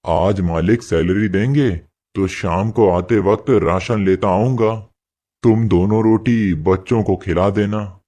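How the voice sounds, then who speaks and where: A poor, humble working husband from a cartoon moral story, acted by a man. His voice sits fairly low. He speaks gently and apologetically, always putting himself last. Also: slow. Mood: patient, resigned. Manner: gentle, pleading and apologetic toward his boss. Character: poor meek labourer husband, patient and self-sacrificing. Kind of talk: animated moral story acting.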